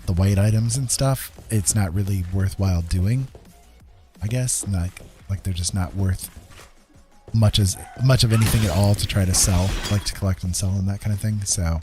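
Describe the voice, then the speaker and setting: A man's voice: low-pitched, normal speed, mellow. A man streaming a game with casual chat, thinking aloud in a rambling way and often repeating phrases. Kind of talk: casual gaming livestream chat. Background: game music.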